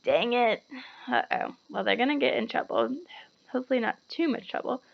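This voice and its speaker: Female storyteller, animated and explanatory, teacher-like, with noticeable creak and dull recording. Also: a little high, a little fast. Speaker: teacher reading a children's novel aloud. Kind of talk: expressive read-aloud with chatty asides to children.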